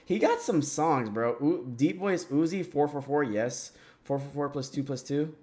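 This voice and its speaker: A man hosting a livestream, chatting casually and reacting out loud while reading viewer comments and talking back to them. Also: on the high side, moderate pace. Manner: loose and excitable, reads chat comments aloud and talks back. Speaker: livestream host. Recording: loud rap music playing under the voice.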